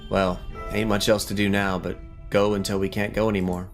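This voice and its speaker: A man's voice: on the low side, level tone, a little fast, soft background music, close to an everyday voice. A man reading game dialogue aloud as a weary, wistful survivor on the road after the apocalypse. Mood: wistful, resolute.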